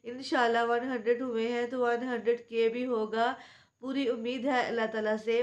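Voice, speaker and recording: A woman in her thirties with a Pakistani Urdu accent, chatting through a home vlog and voice-over, hoarse from a sore throat and cold, homely and confiding. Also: on the high side, level tone, normal speed, dull audio. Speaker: home cooking vlogger. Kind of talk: casual vlog chat and voice-over. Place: small room at home, indoors.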